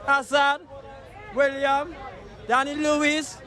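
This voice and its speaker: A man's voice: extremely high pitch, level tone, slow pace, sharp tone, some chatter behind. A talkative man with a Trinidadian accent, chatting casually as a street interviewee and wandering off the question. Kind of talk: rambling casual chat on the street. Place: outdoors on a street.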